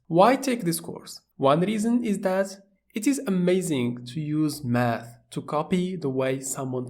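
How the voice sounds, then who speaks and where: A man from Morocco, narrating a tutorial course in a plain, steady explaining manner. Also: fairly high pitch. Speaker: course instructor.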